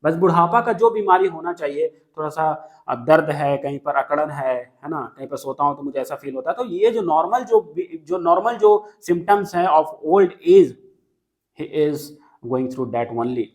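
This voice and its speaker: A man's voice: on the high side, moderate pace. A man teaching a poem line by line, switching between Hindi and English and often checking that students follow. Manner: mixes Hindi and English, checks understanding, repeats key lines. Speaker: literature teacher. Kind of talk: classroom lecture explaining a poem line by line.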